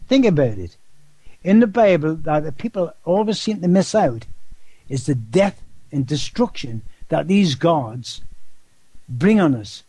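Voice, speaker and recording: A man's voice: fairly high pitch, expressive, measured pace, dark tone, husky, dry recording. An older man with a Geordie accent, a guest in an interview, talking in a rambling way with repeated words and rhetorical questions. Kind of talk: casual phone-style interview talk.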